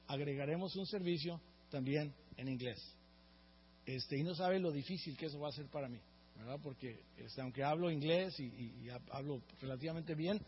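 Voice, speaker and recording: A man's voice: high-pitched, breathy edge, long reverb tail, highs cut off. A man from Mexico, preaching a sermon as pastor and often checking in with the congregation. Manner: earnest preaching, often asks the congregation "right?".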